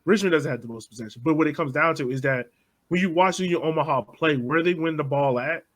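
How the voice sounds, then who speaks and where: A man co-hosting a casual sports podcast, eagerly explaining tactics with analogies and jumping in with quick agreement. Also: on the high side, fairly bright tone. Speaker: podcast co-host.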